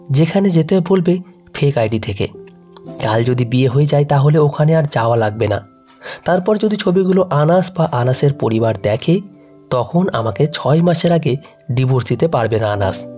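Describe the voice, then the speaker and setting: A man's voice: on the high side, warm tone, close to an everyday voice. A man voicing an anxious, polite young bride-to-be in an emotional audio story.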